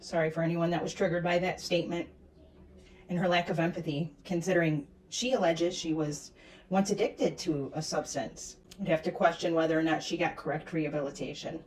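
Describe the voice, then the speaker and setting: A woman's voice: lowish voice, steady tone, a little fast, a little mellow, far from the mic, poor audio. A woman giving critical reaction commentary in a sarcastic, disapproving manner. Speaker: commentator reacting to clips of a streamer.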